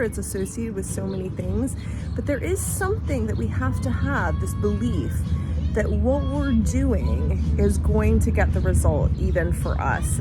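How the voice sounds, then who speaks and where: A middle-aged woman vlogging in a gentle, reflective, conversational way, musing aloud with frequent "you know" and "like", over background music. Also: fairly low pitch. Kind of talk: reflective motivational talk to camera.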